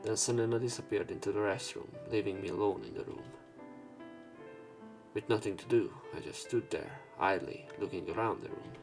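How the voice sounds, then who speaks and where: A man's voice: lowish voice, normal speed. A man reading visual novel text aloud as a let's play narrator, with casual asides. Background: visual novel game music and sound effects.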